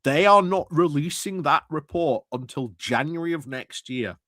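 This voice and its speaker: A man's voice: a little high, animated, moderate pace, fairly bright tone, dry recording. Male live-stream political commentator, sardonic, scornful, casual, very dry close sound, repeats for emphasis. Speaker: streamer commentating on a council meeting.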